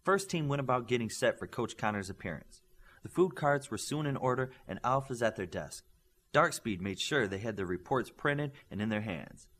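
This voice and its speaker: A man's voice: medium pitch, fairly bright tone. A man reading a scripted story aloud as an audiobook narrator. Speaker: audiobook reader. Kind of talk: scripted fiction narration with character voices.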